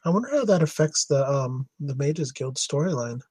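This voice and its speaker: A man's voice: on the high side, animated, normal speed, darkish tone, slightly rough, dry recording. A man on a podcast panel talking casually with the others. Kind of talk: casual chat.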